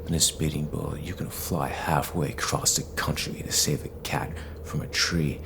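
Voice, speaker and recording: An actor playing a bitter, stubborn, brooding male vigilante in an audio drama, with a low, gravelly voice and a terse, grim, halting delivery. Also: fairly even intonation, noisy background. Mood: bitter, angry.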